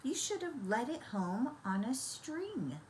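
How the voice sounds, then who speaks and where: A woman's voice: a little high, big pitch swings, takes it slowly, slight background noise, rough recording. Woman acting a cross, scolding storybook mother. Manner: exasperated, emphatic scolding.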